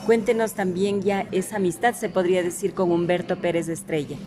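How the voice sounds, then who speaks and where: A woman's voice: fairly low pitch, fairly even intonation, brisk, darkish tone. A woman, posing prepared questions as an interviewer. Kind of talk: scripted-sounding interview questions. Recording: noticeable background noise.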